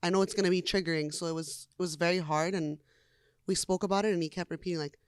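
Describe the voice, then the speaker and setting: A young woman singer chats through an interview in a smooth, resonant voice, peppering her talk with "like" and laughing easily. The sound is close and dry, with no room around it. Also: fairly low pitch, fairly even intonation, fast talker, no room sound. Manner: chatty, frequent "like" fillers, laughs easily. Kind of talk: casual interview chat.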